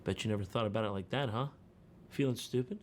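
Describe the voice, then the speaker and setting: A man hosting a comedy video, mixing deadpan sarcasm with sudden outbursts. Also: lowish voice, fast, background noise. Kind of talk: scripted comedic narration. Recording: music bed under the voice.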